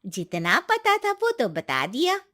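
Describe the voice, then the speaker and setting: A kind, secretive village woman acted by a woman in a cartoon folk tale. Her voice is high and bright, swinging widely in melody as she reassures in homely, colloquial words. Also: huge pitch swings, measured pace, heavily acted. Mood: guarded. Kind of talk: cartoon moral-story acting.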